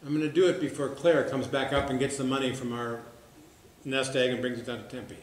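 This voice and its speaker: A man's voice: medium pitch, steady tone, fairly quick, natural voice. A man playing a gullible, lovestruck, stubborn bar regular in a stage comedy, talking in a folksy, earnest, defensive way. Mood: stubborn, hurt. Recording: echoey room with background noise.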